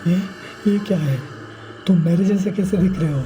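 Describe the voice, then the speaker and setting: A man playing a frightened young man stranded in a jungle, speaking haltingly and pleadingly in a horror audio story. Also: high-pitched, dark tone, slight background noise, natural voice. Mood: shocked.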